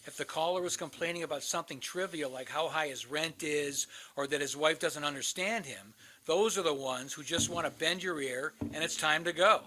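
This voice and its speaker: A man's voice: on the high side, moderate pace, hardly any pauses, pushed and bright, poor audio. An actor in a radio play, playing a cynical, bitter older man, a world-weary crisis hotline veteran who likes to philosophize. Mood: jaded.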